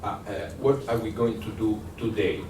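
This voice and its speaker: A man with an Italian accent giving a workshop lecture, conversational and halting, with many fillers and restarts. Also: on the low side, little pitch movement. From Italy. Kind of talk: workshop lecture with audience questions. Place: lecture room. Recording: echoey room, distant mic, noisy.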